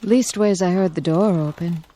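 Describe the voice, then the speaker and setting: A woman's voice: on the low side, normal speed, mellow, lightly acted. A woman playing an uneasy, outspoken small-town aunt in an audio drama, speaking in a blunt, folksy way. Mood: fretful.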